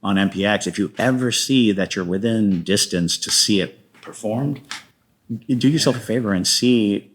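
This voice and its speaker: A man talking casually as a playwright guest in an interview, enthusiastic, with a rambling, halting delivery. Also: on the low side, a little hiss or hum.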